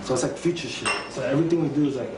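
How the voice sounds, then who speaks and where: A man's voice: medium pitch, rapid-fire. A man guest musician talking in a laid-back, rambling way about his music. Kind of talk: casual interview chat. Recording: distant echoey mic with background noise.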